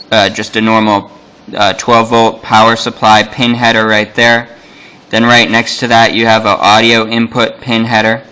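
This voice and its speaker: A man giving a casual, halting, technical walkthrough as a hobbyist showing off electronic gear. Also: fairly low pitch, pushed and bright, smooth, poor audio. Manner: informal, halting, technical explaining. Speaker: hobbyist demonstrating radio electronics. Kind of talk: casual explanatory product walkthrough.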